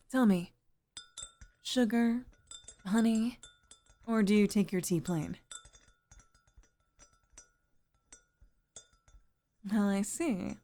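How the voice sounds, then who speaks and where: A woman acting as a sweet middle-aged single mom in an intimate ASMR roleplay. She speaks slowly in a mellow, breathy voice that lingers near a whisper. She is tender and flirtatious, with a shy, easily flustered warmth. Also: medium pitch. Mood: warm, hospitable.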